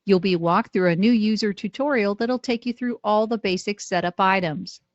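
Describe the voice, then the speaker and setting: A woman narrating instructional training, explaining clearly step by step. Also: mid-range voice, moderate pace, dry recording, muffled recording. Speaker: software trainer.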